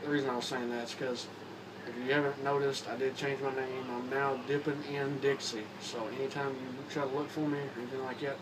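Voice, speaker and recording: A young man from Kentucky with a Southern accent, a bit hoarse from a cold, rambling in a casual talk to camera with many restarts and fillers. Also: medium pitch, little pitch movement. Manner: rambling, halting with repeats and fillers. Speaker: vlogger. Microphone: phone or camera mic. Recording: distant camera mic with background noise.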